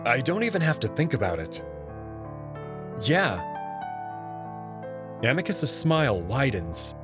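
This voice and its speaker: Expressive man narrating a visual novel. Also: mid-range voice, slow pace. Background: background music.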